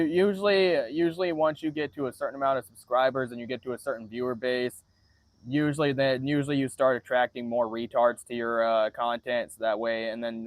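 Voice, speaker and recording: The monotone guy livestreaming from a dry-sounding spot, stumbling back over his phrases and cursing as he answers the chat. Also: mid-range voice, dry recording. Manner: halting, repeats and restarts phrases; frequent swearing.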